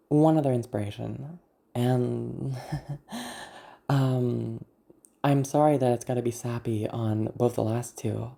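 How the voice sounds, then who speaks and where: An ASMR content creator with a naturally gravelly, growly voice, talking to viewers in a candid, self-deprecating, rambling way. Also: medium pitch, mellow. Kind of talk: casual personal talk to viewers.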